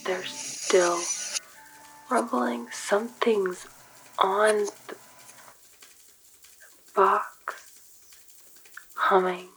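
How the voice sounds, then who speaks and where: An actress playing a haunted young woman murmuring dreamlike visions in a breathy whisper, in an audio drama. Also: mid-range voice, steady tone, rough recording. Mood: trancelike. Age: young adult. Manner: hushed, slow, trancelike. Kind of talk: whispered inner monologue.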